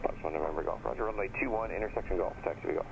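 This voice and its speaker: A male air traffic controller reading out clearances in rapid, clipped radio phraseology. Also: deep voice. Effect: aviation radio. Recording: noisy radio transmission.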